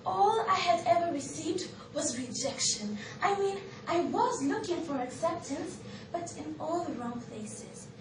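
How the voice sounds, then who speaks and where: A woman's voice: extremely high pitch, expressive. A woman with a Kenyan accent playing a shamed but devoted biblical outcast in a theatrical, emphatic stage monologue. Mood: wounded, amazed. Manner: theatrical, emphatic, drawn-out declamation. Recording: echoey, noisy room, distant microphone.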